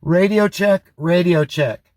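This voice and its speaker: A man doing radio test calls and product review narration in a plain, measured way. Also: high voice, darkish tone, slight background noise. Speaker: host of a radio review channel.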